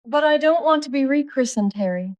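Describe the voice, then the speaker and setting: A man voicing a spirited, flirtatious English duchess in an audiobook, with a coy falsetto and quick retorts. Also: animated, no echo, highs cut off. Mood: coy, playful. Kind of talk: audiobook character dialogue.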